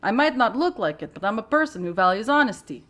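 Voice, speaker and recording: A woman's voice: a little high, big pitch swings, fast, fairly bright tone. Woman doing a blunt mustached oddball with a strange accent. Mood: earnest. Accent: peculiar put-on foreign accent. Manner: blunt, boastful, speaks of himself in third person. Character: eccentric mustached man with a peculiar accent, mobster-like bravado.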